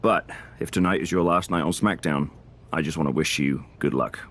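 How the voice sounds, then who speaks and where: A sly wrestler chats backstage in a video game with a deep voice. He sounds friendly on the surface while needling the other man. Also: normal speed, a bit bright. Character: sly male wrestler scheming over a draft pick. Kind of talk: backstage conversation.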